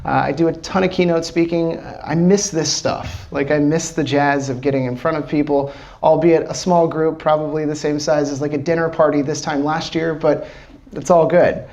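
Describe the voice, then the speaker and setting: A man's voice: on the high side, steady tone, normal speed, a little mellow. An American man from Washington, D.C., a startup founder giving a casual live presentation to a small audience, talking loosely with lots of fillers and casual swearing. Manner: loose and chatty, many fillers, swears freely.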